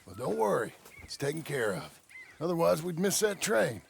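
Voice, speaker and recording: Scheming American gang robber, western game; rough creaky voice, plain-spoken, faint noise behind. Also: male voice, fairly high pitch, sing-song, normal speed, heavily acted. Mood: matter-of-fact. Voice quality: gravelly. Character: gravelly, scheming, matter-of-fact cowboy outlaw. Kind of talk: video game cutscene acting.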